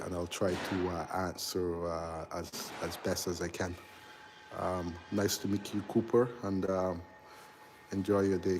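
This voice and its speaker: A man in his forties, an electrical contractor hosting a casual live stream as he walks through a big unfinished house, answering viewers in a halting, chatty way full of ums and uhs. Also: sits low, darkish tone, slight background noise. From Barbados. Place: large unfinished house under construction, indoors.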